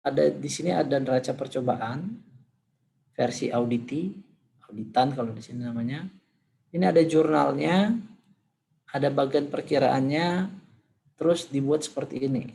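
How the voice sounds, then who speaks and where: A male lecturer, his voice hoarse and gravelly with fry. He walks a class through a demonstration in a loose, informal way, thinking aloud with fillers. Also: mid-range voice, moderate pace, long pauses, dark tone, low-quality recording.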